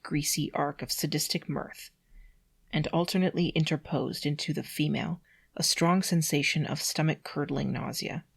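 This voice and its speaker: A mid-pitched reader, sex unclear, reading prose aloud and adding incredulous, nitpicking, giggly asides. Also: creaky, slightly rough. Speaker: amateur reader doing a read-aloud with commentary.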